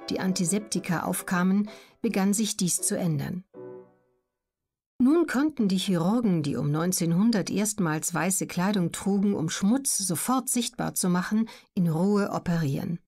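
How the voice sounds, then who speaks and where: A woman narrating scripted educational documentary material as an audiobook reader, measured and carefully articulated. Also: medium pitch, darkish tone.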